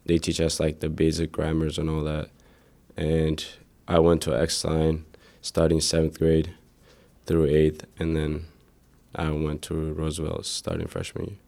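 A young man from Ethiopia, a native Amharic speaker, answering interview questions in a hesitant, plain way with many pauses. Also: rumbling low, steady tone, gravelly, mellow. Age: teens to young adult.

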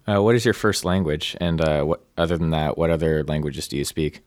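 A young man asking interview questions in a casual, slightly halting way. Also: extremely low pitch, steady tone, a little mellow, no echo. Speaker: student interviewer.

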